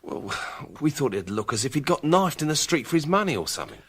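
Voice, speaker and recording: An actor playing an uneasy, hesitant criminal under questioning in a British radio drama, halting and anxious. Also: male voice, fairly high pitch, big pitch swings, husky, heavily acted. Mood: uneasy, defensive.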